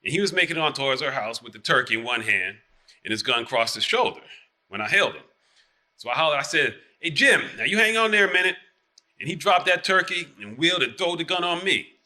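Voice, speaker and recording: A very bright, forceful folksy Southern town marshal, acted by a man. He rambles and boasts in country dialect, hedging his way around every excuse like a character on stage. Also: fairly high pitch, lively intonation, fast. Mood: animated, defensive. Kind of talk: theatrical dialect storytelling.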